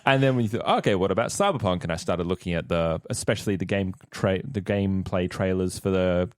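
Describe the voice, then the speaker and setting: An Australian man talks casually in a fairly low voice. He is informal and jokey, with a close, dry sound. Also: a little fast, no echo. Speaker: actor and podcast cast member. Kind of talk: casual chat.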